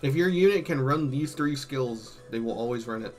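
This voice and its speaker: A man doing casual, slangy live-stream commentary, thinking out loud, his voice a bit worn from hours of talking. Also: mid-range voice, animated, normal speed, faint music behind. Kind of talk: casual live-stream commentary, ranking game tier lists.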